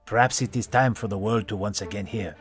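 An actor plays a young, aloof hero in an audio drama. He is proud and formal, with a low voice. Some music plays behind him. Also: brisk, lightly acted. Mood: proud, reluctant. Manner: haughty, formal.

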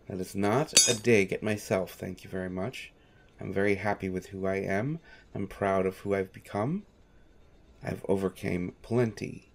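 A man playing a devoted, patient manservant in an audio roleplay, polite and dryly wry, with hesitant phrasing. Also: low-pitched. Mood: earnest, proud. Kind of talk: scripted audio roleplay, speaking directly to listener.

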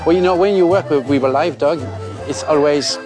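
A man's voice: fairly high pitch, fairly quick. A man in his sixties from France, speaking English with a French accent as a ventriloquist contestant. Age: 60s. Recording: loud music and cheering audience behind.

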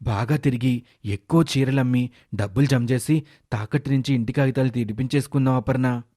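A caring middle-aged father in a cartoon moral tale, voiced by a man, with a mid-pitched voice. He speaks earnestly, reasoning with his wife. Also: brisk, mellow, close to an everyday voice. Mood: pleading. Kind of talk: animated moral story character dialogue.

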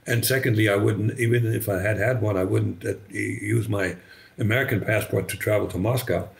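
An older man with a slight German accent, German native, telling his story as a podcast guest over a video call, halting with many restarts. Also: fairly low pitch, fairly even intonation, creaky. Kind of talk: conversational storytelling. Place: bright living room, indoors. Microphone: video call webcam.

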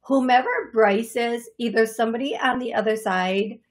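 A middle-aged woman with a raspy voice from allergies, hosting her psychic medium show in a chatty, apologetic way from her living room. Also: a little high, moderate pace. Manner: chatty, apologetic, laughs often, gestures with hands. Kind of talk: solo livestream talk to viewers. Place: living room, seated on leather couch.

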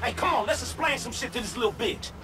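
A man's voice: very high voice, animated, fast talker, music in the background. A man acting a brash, streetwise middle-aged American fixer in a video game, with slangy, profane swagger. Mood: aggressive, taunting.

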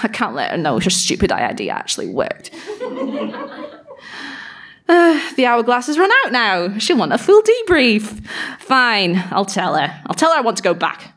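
A woman's voice: fairly high pitch, wildly swooping pitch, a little slow, fairly bright tone, exaggerated voice. Woman acting a brooding, sardonic exiled fairy. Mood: grumpy. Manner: brooding, self-mocking, sweary. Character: exiled fairy woman, sardonic, guilt-ridden, grudging diarist. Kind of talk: audio drama diary monologue performed live.

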